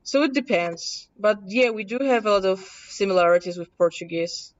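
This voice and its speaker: A woman from Poland with a mild Polish accent, casually teaching a workshop and thinking aloud as she demonstrates software. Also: mid-range voice, moderate pace. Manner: chatty, self-correcting, thinking aloud. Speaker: workshop presenter. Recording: distant, dull room recording.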